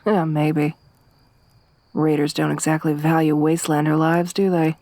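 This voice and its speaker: A woman voicing a tough, wry young survivor woman in a soft-spoken ASMR roleplay, with a dry, teasing, gruff manner. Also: low-pitched, flat delivery, moderate pace, no echo. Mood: low-key, wry.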